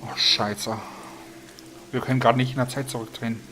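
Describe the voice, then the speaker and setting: Flat-toned German man commenting on a game. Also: mid-range voice, fairly quick, background noise. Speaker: let's player. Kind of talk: casual gameplay commentary. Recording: game music and rain behind.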